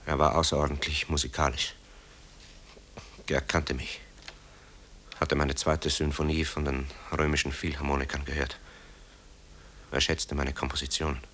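An actor playing a tormented grown son, a composer haunted by wartime guilt, in a grave, halting radio drama delivery. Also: male voice, rumbling low, flat delivery, fairly bright tone, slight background noise. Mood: tense, confiding. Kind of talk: German radio drama acting.

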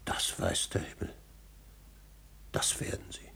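An actor playing an old, grieving manservant in a classical German radio drama, weary, restrained and bitterly ironic. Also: male voice, slow pace, bright, forceful tone, whispered. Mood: bitter irony.

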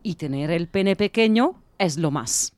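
A woman narrating and co-presenting audio fiction in an expressive way. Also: fairly low pitch, a little slow.

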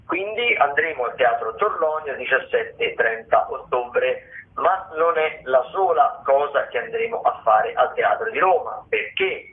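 A man's voice: medium pitch, expressive, measured pace. Phone-like man presenting theatre listings. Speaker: radio host of a theatre show. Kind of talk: informal spoken arts listings and commentary. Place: radio broadcast. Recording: muffled, phone-like radio sound.

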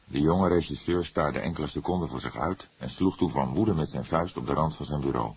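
Low, husky male audiobook narrator. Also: a little fast, dry recording. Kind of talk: scripted narration of a detective novel.

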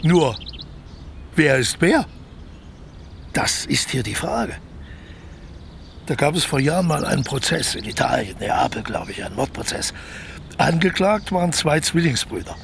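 An actor playing a smooth-talking, scheming crook in a radio play, persuasive and cocky as he explains his plans. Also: on the high side, sing-song, a little slow, long pauses, a bit bright, a little hiss or hum, heavily acted. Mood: smug, calculating. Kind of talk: naturalistic German radio play dialogue.

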